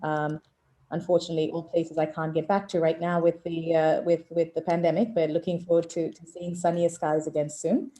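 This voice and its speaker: Low, mellow-voiced woman from southern Africa. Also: little pitch movement, brisk. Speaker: angel investor on a pitch panel. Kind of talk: self-introduction on an online panel.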